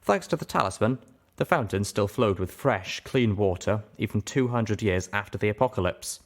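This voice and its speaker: Man, lowish pitch, audiobook narrator, expressive first-person storytelling. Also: moderate pace. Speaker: audiobook reader.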